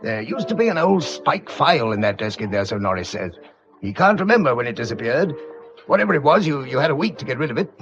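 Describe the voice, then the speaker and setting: An actor playing an earnest, accusing English gentleman in a period radio drama, pressing and clipped in an upper-class manner. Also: mid-range voice, sing-song, fast, dull audio, faint music behind.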